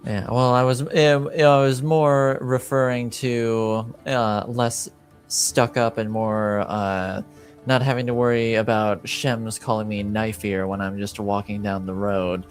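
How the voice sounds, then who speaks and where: A man plays a young elven woman in a tabletop fantasy game, guarded and understated. The voice is slow and hesitant, with faint music behind it. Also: fairly low pitch, level tone, close to an everyday voice. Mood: guarded, relaxed.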